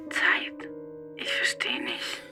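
A woman's voice: takes it slowly, in a whisper, natural voice. An actress playing an anxious, needy woman in a radio drama, pleading and questioning. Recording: loud music under the voice.

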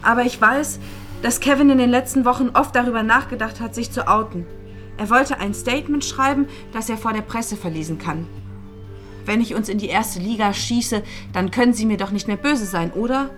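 A woman's voice: on the high side, normal speed, faint music behind, lightly acted. An actress playing a grieving young woman, loyal confidante to a dead friend, in a screen drama. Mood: subdued, candid. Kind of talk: dramatic film dialogue.

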